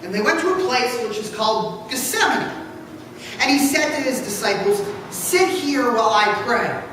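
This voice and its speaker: A woman reading scripture aloud in church, solemn and deliberate, with dramatic phrasing. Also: a little high, animated. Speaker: scripture reader. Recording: echoey hall from far off, faint noise.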